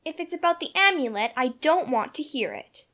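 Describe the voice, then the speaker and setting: Narrator doing a high-pitched anxious little British girl. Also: expressive, a little fast, bright, forceful tone, exaggerated voice. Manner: anxious, plaintive. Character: anxious little English girl, youngest sister.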